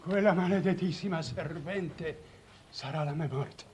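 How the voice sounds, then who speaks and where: Man playing a high-voiced, fussy old Venetian official. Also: a little slow, darkish tone, natural voice. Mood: fearful, hesitant. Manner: stammering, hesitant, obsequious. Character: fussy, timid, flustered elderly village official. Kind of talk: 1950s Italian television period drama acting. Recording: noisy old 1950s TV soundtrack.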